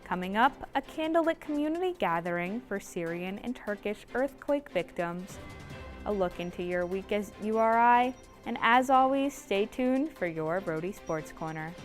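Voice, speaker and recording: A young woman anchoring a student newscast with a bright, upbeat read. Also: medium pitch, animated, moderate pace, faint music behind. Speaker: news anchor.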